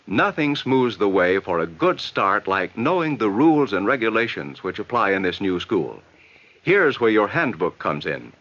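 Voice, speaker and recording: American guy doing 60s educational film narration, measured advice voice, pitch moves around a lot, dull old audio. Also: fairly bright tone. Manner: instructive, measured, advising. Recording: dull vintage 1960s film soundtrack.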